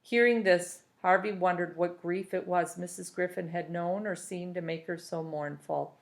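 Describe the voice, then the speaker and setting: A woman's voice: lowish voice, steady tone, moderate pace, creaky. A woman narrating a children's novel aloud as a storybook reader.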